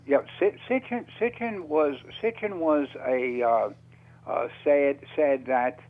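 A man speaking as a radio interview guest, unscripted and halting, often repeating himself as he searches for words. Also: fairly high pitch, a little mellow, low-quality recording.

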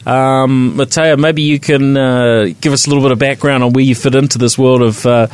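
A man's voice: medium pitch, fairly even intonation, normal speed, a little mellow, smooth, dry recording. A man with a New Zealand accent hosting a tech podcast, talking casually with many fillers and restarts. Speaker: podcast host. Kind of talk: casual tech news chat.

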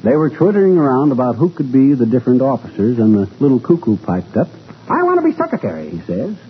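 A man's voice: medium pitch, huge pitch swings, fast. A man playing a folksy, blustery middle-aged husband who jokes and spins yarns in a 1940s radio comedy. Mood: storytelling. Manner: folksy, colloquial, chuckling. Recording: tinny, noisy old radio recording.

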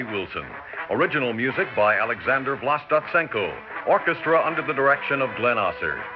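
A man with an American accent reading scripted credits as a 1960s radio announcer. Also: mid-range voice. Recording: muffled 1960s radio recording. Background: music.

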